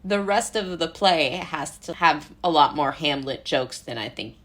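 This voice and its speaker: A woman's voice: low-pitched, animated, unhurried, a bit bright. An American woman from Brooklyn talking casually as an interview guest, informal, rambling, amused and self-deprecating. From Brooklyn, United States.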